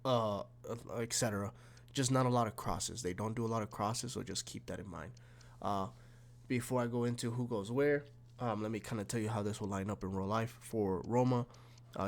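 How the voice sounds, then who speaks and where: A man with a slightly raspy, snuffly voice from being sick, casually walking through football game tactics with lots of fillers and restarts. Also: lowish voice. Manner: rambling, many fillers and restarts. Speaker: gaming YouTuber.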